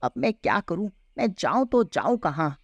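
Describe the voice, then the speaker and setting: Woman voicing a expressive, plaintive cartoon cow. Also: mid-range voice, normal speed, no room sound, muffled recording. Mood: distressed, pleading. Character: skinny, harassed village cow, plaintive and pleading. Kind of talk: children's cartoon story acting.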